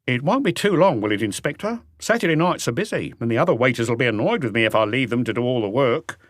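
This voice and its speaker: A man's voice: mid-range voice, lively intonation, quick pace, no room sound, studio quality, lightly acted. A man voicing a fretful older waiter in an audiobook, pleading anxiously.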